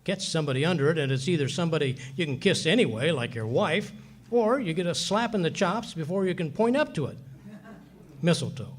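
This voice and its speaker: A man's voice: a little high, animated, brisk, slight background noise, close to an everyday voice. A man acting a cheeky, boastful, stubborn husband in a 1940s radio comedy, with a folksy, slangy way of talking. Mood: indignant.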